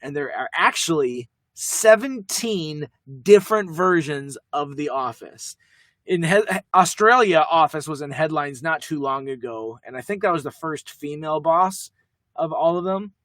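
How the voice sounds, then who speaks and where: A young man co-hosting a podcast, talking quickly in a rambling, jokey way. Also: fairly high pitch, lively intonation, fairly bright tone, no room sound. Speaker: podcast co-host. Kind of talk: casual chat.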